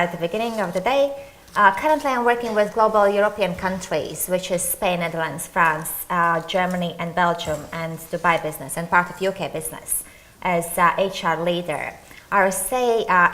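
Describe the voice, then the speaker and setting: Latvian woman panelist, lowish voice, careful and methodical. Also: a bit bright. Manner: measured, listing things one by one. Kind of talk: conference panel introduction.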